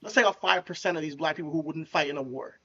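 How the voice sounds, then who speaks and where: A man's voice: fairly high pitch, quick pace, bright, forceful tone, husky, lo-fi sound. A man co-hosting a casual podcast chat, talking bluntly and animatedly in slangy speech. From United States. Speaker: podcast co-host.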